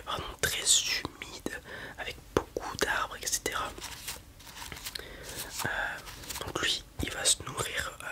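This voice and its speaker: A man in an ASMR roleplay, whispering breathily as he casually and hesitantly explains things in the role of a breeder.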